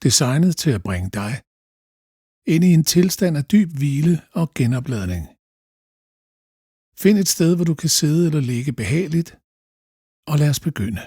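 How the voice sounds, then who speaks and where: A man guides a meditation slowly and calmly, giving gentle instructions with long pauses. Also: fairly high pitch, breathy edge, no room sound, studio quality.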